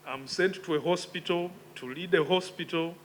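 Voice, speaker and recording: A man, giving a measured, reflective conference speech with emphatic rises in his voice. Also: sits high, slight background noise. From Uganda. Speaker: conference speaker. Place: conference hall.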